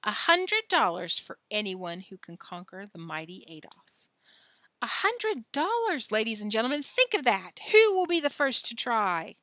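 A woman's voice: on the high side, very animated, pushed and bright, dry recording, full character voice. Woman doing a bombastic male circus ringmaster. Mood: showy, booming. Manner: grandiose, drawn-out barker's delivery. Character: bombastic male circus ringmaster, showy announcer turning huffy.

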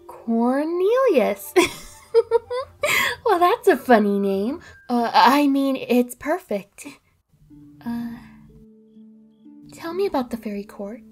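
A woman playing a sweet, excitable tiny young maiden in an animated fairy tale, girlish, earnest and a little hesitant. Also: fairly high pitch, slow, pauses often, faint music behind, exaggerated voice. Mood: shy, admiring.

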